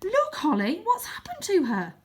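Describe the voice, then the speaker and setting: A woman's voice: extremely high pitch, huge pitch swings, normal speed, voice pushed up, heavily acted. A woman voicing an earnest, anxious young male cat in a children's storybook, plaintive and urgent. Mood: anxious, pleading. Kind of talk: children's storybook character reading.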